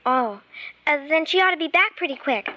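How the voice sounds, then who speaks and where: A woman's voice: high-pitched, expressive, normal speed, pushed and bright. A woman playing a plucky, inquisitive little girl in an old-time radio suspense drama, with artless, hesitant childlike phrasing. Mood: feigned innocence.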